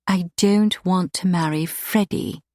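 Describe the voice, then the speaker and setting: A woman's voice: on the low side, slow, a little mellow, dry recording, studio quality, voice pushed up. A woman voicing a fierce, stubborn young lady in love, heated and emphatic, in an audiobook. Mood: fiercely defiant. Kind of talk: audiobook character dialogue.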